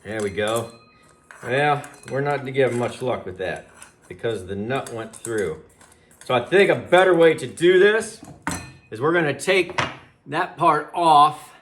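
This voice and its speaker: A man's voice: mid-range voice, animated, measured pace, a bit bright, slight background noise. A man giving a casual, folksy hands-on demonstration as a DIY host, often talking half to himself. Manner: folksy, talks to himself while working. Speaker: DIY channel host and product reviewer.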